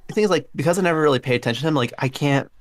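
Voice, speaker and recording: Very deep-voiced woman, rambling. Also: very fast. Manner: rambling, heavy use of "like". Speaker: podcast co-host. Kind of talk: casual anime discussion.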